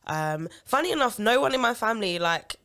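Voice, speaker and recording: A woman's voice: fairly high pitch, sing-song, a little fast, a bit bright. A young woman from Leeds, a singer talking casually as a podcast guest, saying "like" a lot. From Leeds, England. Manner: chatty, peppers speech with "like". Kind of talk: casual interview chat.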